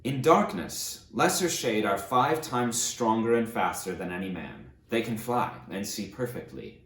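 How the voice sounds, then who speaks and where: A very low-voiced aged conqueror, acted by a man, full of guilt and self-loathing. He delivers his confession like a dramatic audiobook, solemn and deliberate. The sound rings with noticeable room echo. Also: very animated, fairly bright tone, exaggerated voice. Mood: grim recollection. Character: aged fallen tyrant conqueror, remorseful, self-loathing, grandiose.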